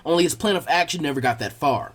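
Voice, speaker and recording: A man's voice: fairly high pitch, fast talker, a bit bright. A man reading fan fiction aloud as a scripted story narration.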